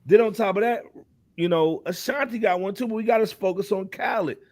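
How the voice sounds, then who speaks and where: A man's voice: high-pitched, brisk, dry recording. A man in his forties with an American accent, hosting a casual hip hop panel talk in slangy, emphatic style with repeated phrases. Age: 40s.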